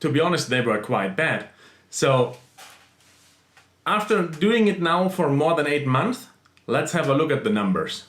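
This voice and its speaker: A man's voice: on the high side, big pitch swings, measured pace, long pauses, fairly bright tone, echoey room. A man from a small central European country, speaking English as a second language, talking earnestly and plainly as a vlogger, with frequent fillers and restarts. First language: not English. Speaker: side-hustle vlogger. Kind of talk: casual screen-share explainer.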